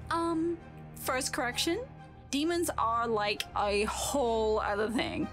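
A smug villain sorceress speaks high and slow, with big swoops of pitch. She is mocking and theatrical, as in a fantasy audio drama, over dramatic music. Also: animated. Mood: condescending. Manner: drawling, condescending, sarcastic.

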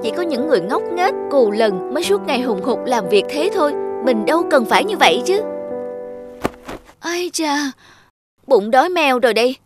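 A woman voicing a lazy, dreamy young man in a cartoon, a breezy flatterer given to exclamations. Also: high-pitched, moderate pace, over loud music. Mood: lazy, dismissive. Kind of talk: scripted cartoon dubbing.

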